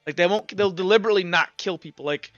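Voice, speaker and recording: Bright-voiced man narrating a tabletop game. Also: sits high, fast, no room sound, highs cut off, soft background music. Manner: casual, loose, searching for words. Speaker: game master.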